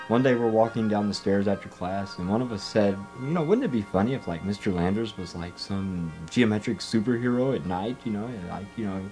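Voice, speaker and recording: Low-voiced man reminiscing casually. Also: darkish tone, rough recording. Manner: hesitant, with many pauses. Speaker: interviewee, former studio member.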